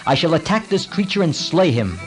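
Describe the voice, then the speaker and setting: A man's voice: on the high side, sing-song, fairly quick, music in the background. A man voicing a wrathful young warrior prince making a defiant vow. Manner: vowing, defiant.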